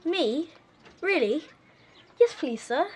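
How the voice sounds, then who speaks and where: High-voiced polite evacuee boy. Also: animated, slow, slight background noise. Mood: shy, eager. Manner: deferential, short eager answers. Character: shy, polite eleven-year-old evacuee boy. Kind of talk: radio play acting.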